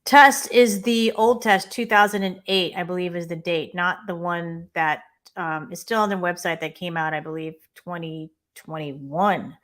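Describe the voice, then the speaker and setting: A woman co-hosting a live immigration Q&A stream, chatting and giving quick practical tips. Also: on the low side, steady tone, fairly bright tone. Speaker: immigration lawyer co-host.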